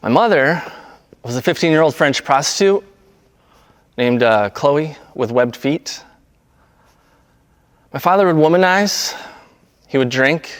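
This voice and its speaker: A man speaking in a low-key, halting, naturalistic way, like an amateur actor doing a monologue reading. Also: lively intonation. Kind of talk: casual intro, then understated monologue reading. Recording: long echoing reverb on the voice.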